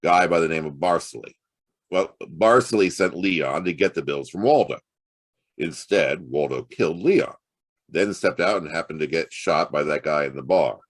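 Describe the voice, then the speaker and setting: Wry private eye: deep voice, swooping intonation, sardonic and streetwise, noir radio drama style. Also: male voice, animated, normal speed. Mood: earnest bargaining. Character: wry, streetwise hard-boiled male private detective. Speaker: actor narrating and playing the lead. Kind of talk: hard-boiled detective radio drama acting.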